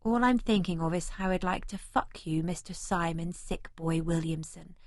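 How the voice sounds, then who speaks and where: A woman's voice: on the low side, normal speed, dry recording. A woman reading first-person scripted narration for an audiobook. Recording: remastered audiobook, close and dry.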